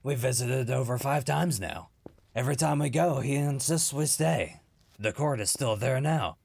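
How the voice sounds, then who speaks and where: Man playing a pompous, creaky-voiced lord chancellor. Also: mid-range voice, normal speed, darkish tone. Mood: smug. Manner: pompous, patronizing. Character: haughty, condescending male Lord Chancellor at a royal court. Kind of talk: fantasy audio drama acting.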